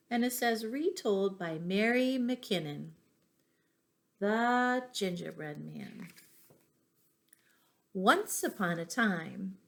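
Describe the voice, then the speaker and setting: Slow-paced woman reading stories to children. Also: mid-range voice. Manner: addresses listeners with questions and asides. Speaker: preschool teacher reading stories aloud to pupils. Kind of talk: read-aloud storytelling for young children.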